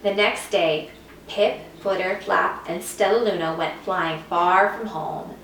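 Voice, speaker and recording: A woman reading a children's picture book aloud as a teacher would, with expressive, dramatic emphasis. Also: on the low side, slow pace. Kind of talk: expressive scripted storybook narration. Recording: echoey room, distant mic, faint noise.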